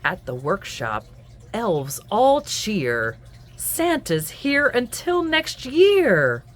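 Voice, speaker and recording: A woman reading a children's picture book aloud in an expressive, singsong storytelling manner. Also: medium pitch. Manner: animated, singsong, deliberate storytelling. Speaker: children's book reader and host.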